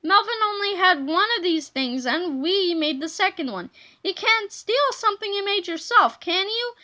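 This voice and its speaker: A woman plays a bold young boy in a children's storybook. The voice is very high and bright. He sounds sure of himself and easily excited. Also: moderate pace, full character voice. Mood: confident. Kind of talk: children's audiobook character voice.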